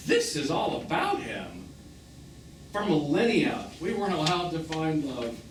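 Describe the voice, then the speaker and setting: A bitter, hurt man in his fifties, with a highish voice that swings widely in pitch. He is sarcastic and emphatic, like a betrayed lover in a stage play. The recording is noisy and caught from far off. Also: big pitch swings, a little slow, roomy sound. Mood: impassioned, aching. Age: 50s. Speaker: stage actor. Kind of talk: live stage drama acting. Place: small theatre, recorded from the audience.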